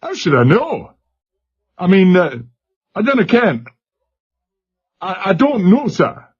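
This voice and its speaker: A man voicing a truculent young male seaman with a slurred Glasgow accent and a throaty, husky voice. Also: high-pitched, big pitch swings, slow, pitched above the performer's own voice, exaggerated voice. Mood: shaken. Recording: dull lo-fi recording.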